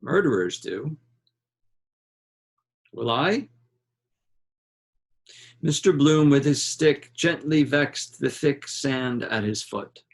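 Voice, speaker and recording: Slow, creaky-voiced man reading literature aloud. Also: mid-range voice, animated. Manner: measured, with deliberate pauses between short phrases. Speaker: reader of a literary novel.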